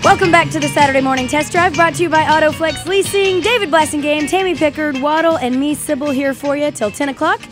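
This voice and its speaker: A woman's voice: sits high, steady tone, fast talker, hardly any pauses, music in the background. A woman co-hosting a casual weekend radio show, upbeat, going into breaks and reading out phone numbers. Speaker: radio co-host.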